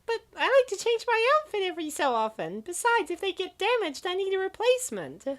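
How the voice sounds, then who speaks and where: A woman's voice: very high-pitched, very animated, brisk. A woman voicing a stubborn, exasperated young female gamer in a comic dub, complaining in long rattled-off runs. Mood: stubborn, whiny.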